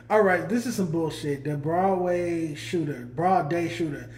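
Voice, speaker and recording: A man's voice: fairly high pitch, takes it slowly, darkish tone, roomy sound. A man with a Los Angeles accent hosting a casual livestream chat, loose and chatty, reading out viewer comments. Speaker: podcast host.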